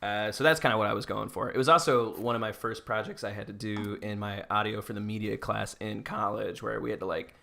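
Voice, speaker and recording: A man's voice: on the low side, fairly even intonation, a little fast, creaky, hardly any pauses, sharp tone. A young man co-hosting a podcast, chatting informally and asking questions with a teasing manner. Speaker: podcast co-host. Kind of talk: casual chat, interviewing his co-host.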